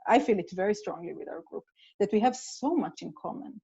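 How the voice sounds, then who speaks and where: A woman's voice: mid-range voice, expressive, brisk, mellow, slightly rough. A woman from Israel with an Israeli accent, Hebrew her first language, chatting thoughtfully on a video call and searching for her words. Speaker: playwright. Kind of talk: casual conversation over video call. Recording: dull lo-fi video call audio.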